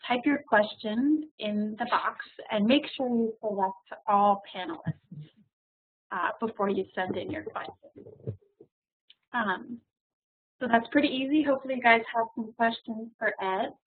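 A woman hosts the question part of a webinar, easygoing and filler-heavy. Her voice is a little husky, and it comes through thin and tinny like a phone line. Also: a little high, moderate pace. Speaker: webinar moderator. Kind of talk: hosting a webinar Q&A.

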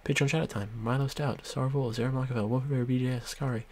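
A man's voice: mid-range voice, fairly even intonation, quick pace. A man speaking casually off the cuff as an audio creator, stumbling a little over his words. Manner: stumbling, apologetic. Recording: noisy recording with loud background ambience.

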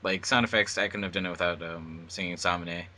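A man talking casually and haltingly as a commentary co-host. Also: deep voice, steady tone, sharp tone. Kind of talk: casual group commentary chat.

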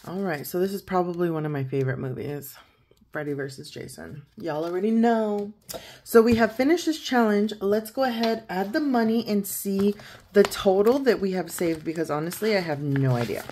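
Croaky-voiced woman in her thirties counting cash. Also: mid-range voice, animated, normal speed, slight background noise. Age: 30s. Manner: chatty, counts out loud, laughs easily. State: croaky morning voice. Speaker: savings challenge vlogger. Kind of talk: casual chat while counting cash. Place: home desk, indoors.

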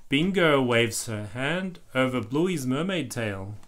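A man's voice: medium pitch, lively intonation, slow pace, fairly bright tone. A man reading a picture book aloud to a small child, explaining the pictures and asking questions in casual asides.

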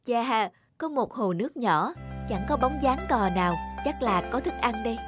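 A woman voicing a weary, anxious and devoted mother stork in an animated fable, tender and worried. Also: on the high side, normal speed, slightly rough. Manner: tender, worried, talking to herself. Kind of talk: animated moral fable voice acting. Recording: music behind the voice.

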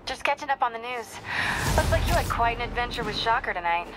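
An actress playing a driven young female reporter in a game, brisk and determined on a phone call. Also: fairly high pitch, lively intonation, heavy background noise, close to an everyday voice.

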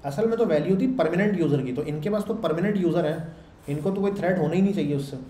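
A man's voice: fairly high pitch, fast talker, mellow. Man lecturing in an echoey room. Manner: conversational, explains with checks like "samjh rahe ho" and "theek hai". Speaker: programming instructor. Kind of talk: casual classroom lecture. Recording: echoey room with faint background hum.

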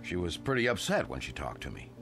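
A suspicious brother-in-law, blunt and probing, with a mid-pitched, lively voice. He presses steadily toward accusation. Music plays under this vintage TV drama soundtrack. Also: fast talker, full character voice. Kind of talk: 1960s TV drama acting.

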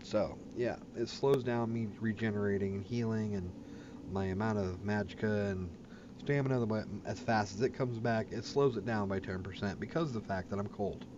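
A man's voice: fairly low pitch, normal speed, lo-fi sound. A man giving casual, plain-spoken commentary as he hosts a gaming video. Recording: clear background game noise. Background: game ambience.

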